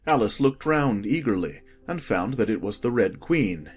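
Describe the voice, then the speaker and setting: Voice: mid-range voice, big pitch swings, normal speed, husky. An audiobook narrator reading a scripted children's story.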